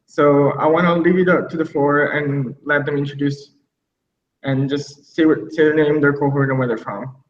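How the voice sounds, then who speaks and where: Male host, halting moderator; distant, roomy, muffled recording with faint background noise. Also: a little high, fairly even intonation. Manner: halting, with restarts. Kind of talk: moderating a video call panel. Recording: roomy, distant, dull mic sound.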